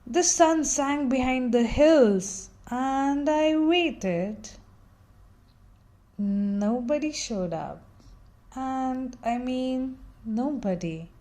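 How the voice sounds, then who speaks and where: A woman reads a children's story aloud at a slow, deliberate pace. Her voice is on the high side, and she phrases the narration expressively, leaning theatrically on key words. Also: animated, dark tone. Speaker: picture book reader.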